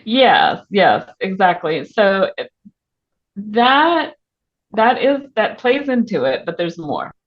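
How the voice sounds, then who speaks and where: A woman's voice: medium pitch, very animated, slow pace. A woman speaking as an author guest in a casual interview, informal and a little hesitant as she thinks aloud. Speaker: author guest on a book podcast. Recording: muffled, band-limited audio.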